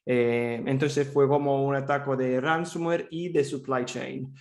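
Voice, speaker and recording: A man's voice: mid-range voice, steady tone, a little slow, darkish tone. Italian man presenting in Spanish. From Italy, Rome. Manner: friendly and chatty, with many fillers and repeated restarts. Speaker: cyber threat intelligence analyst presenting at a summit. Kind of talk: conference presentation.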